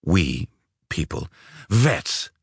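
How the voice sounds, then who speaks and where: A man's voice: deep voice, big pitch swings, very slow, vocal fry, husky, no room sound, studio quality, heavily acted. A man voicing a disdainful, officious vet in an audiobook, clipped and matter-of-fact. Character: disdainful, officious male veterinarian, dry and unbending. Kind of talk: audiobook character voice.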